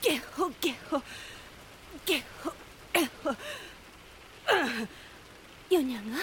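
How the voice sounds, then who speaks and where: A woman's voice: high-pitched, expressive, slow pace. A woman playing a frail, tender dying mother in a period radio drama, weak and coughing. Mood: frail, pleading. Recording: faint hiss behind.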